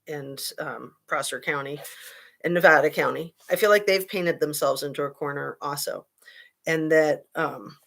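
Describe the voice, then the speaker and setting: Woman in her fifties, slightly raspy, lowish voice, casual livestream host, chatty, lots of ums, webcam mic. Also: fairly bright tone. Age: 50s. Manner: chatty, frequent fillers, greets chat viewers. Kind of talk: casual live-chat commentary.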